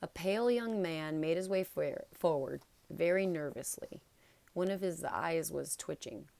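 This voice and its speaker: A woman's voice: low voice, unhurried, vocal fry, darkish tone, dry recording. A woman narrating a children's novel as a read-aloud. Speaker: storybook narrator.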